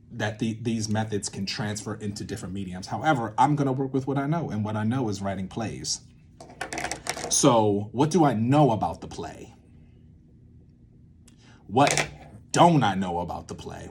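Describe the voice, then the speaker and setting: A man with a fairly low voice talks through an informal lesson as he works it out aloud. He rambles, interrupting and correcting himself as he goes. There is some ambient noise in the recording behind him. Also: animated, unhurried, pauses often, a little mellow. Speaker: creative methods teacher and playwright. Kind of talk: informal teaching at a whiteboard, thinking aloud.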